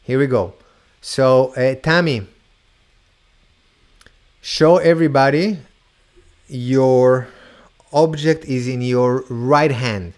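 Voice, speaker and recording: A man's voice: mid-range voice, a little mellow. A man with an Argentinian accent, partly Hebrew-tinged, hosting a playful mentalist show over a video call, teasing guests and drawing out his reveals. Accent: Argentinian with Hebrew influence. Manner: teasing, upbeat, draws out reveals slowly.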